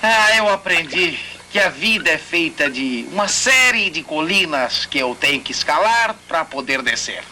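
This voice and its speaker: An actor playing a reluctant, grumbling adventurer in a Brazilian TV dub, plaintive and wry. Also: male voice, on the high side, lively intonation, normal speed, sharp tone, slight background noise. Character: reluctant, grumbling male adventurer missing his comfortable life. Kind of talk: dubbed TV series acting.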